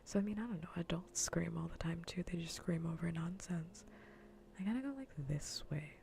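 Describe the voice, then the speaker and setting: A woman streamer chatting playfully and casually in a soft, breathy, often near-whispered ASMR style, close to the microphone. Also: on the low side, brisk, a little hiss or hum. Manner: playful, rambling, half-whispered casual talk. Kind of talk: soft-spoken ASMR gaming livestream chat.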